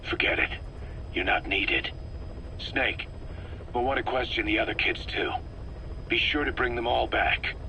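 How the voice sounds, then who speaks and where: A man playing a gruff, guarded military commander in a video game, speaking tersely and defensively. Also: mid-range voice, unhurried, pauses often, close to an everyday voice. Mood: curt, guarded. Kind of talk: scripted video game dialogue over radio. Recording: radio tape with background noise.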